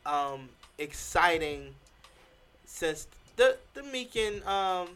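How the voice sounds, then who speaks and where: A man's voice: high-pitched, big pitch swings, drawn-out words, a bit bright, clear, resonant tone. A man co-hosting a casual podcast, giving emphatic opinions with plenty of fillers. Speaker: podcast co-host. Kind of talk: casual chat.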